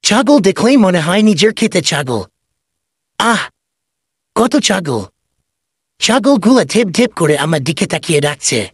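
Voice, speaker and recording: Man playing a husky, sly goat-thief swindler. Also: sits high, very animated, unhurried, long pauses, exaggerated voice. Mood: coaxing. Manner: glib, wheedling salesman patter. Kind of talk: comedy cartoon voice acting.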